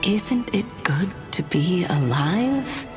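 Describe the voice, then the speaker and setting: An actress playing a calm, sorrowful woman in a game, who sighs and gently probes with questions. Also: mid-range voice, over music. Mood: probing. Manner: slow, sighing and gently probing.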